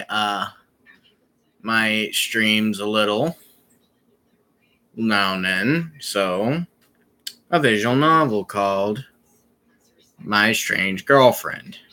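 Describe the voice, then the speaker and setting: A young man chatting casually to his viewers on a live stream, rambling and halting, with many fillers and restarts. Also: fairly low pitch, bright, forceful tone.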